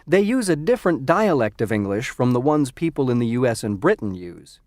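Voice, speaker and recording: An actor playing a friendly young male university student in a scripted textbook dialogue, speaking clearly. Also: medium pitch, a little fast, no echo, studio quality, lightly acted. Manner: lively, clearly enunciated. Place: recording studio.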